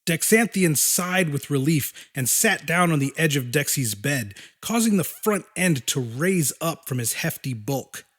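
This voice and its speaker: A man narrating a scripted horror story as an audiobook reader, in a deliberate, dramatic manner. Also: fairly high pitch, expressive, fairly bright tone.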